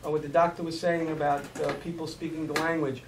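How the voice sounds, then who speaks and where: A man's voice: a little high, flat delivery, quick pace. A man with a Brooklyn accent, a judge telling anecdotes as a forum panelist. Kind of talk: anecdotal remarks to an audience. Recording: roomy, distant mic, faint noise.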